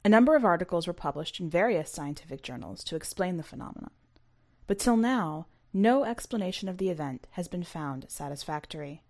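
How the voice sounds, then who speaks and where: A woman's voice: on the low side, animated, a little fast, a little mellow. A woman hosting a fiction podcast, speaking in a scripted, read-aloud presenting and narrating style. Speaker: podcast host and story reader.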